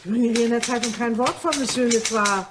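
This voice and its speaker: A woman's voice: on the high side, fast talker, lightly acted. An actress playing a plain-spoken, nosy cleaning woman in a German radio crime drama, chatty, persistent and innocently inquisitive. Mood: puzzled, chatty. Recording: loud noise behind voice.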